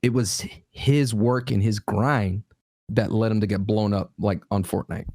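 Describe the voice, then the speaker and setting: Excitable, animated male podcast guest. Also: fairly low pitch, a little slow, no room sound, studio quality. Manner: animated, excitable, voice jumping up on emphasis. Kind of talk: casual podcast chat.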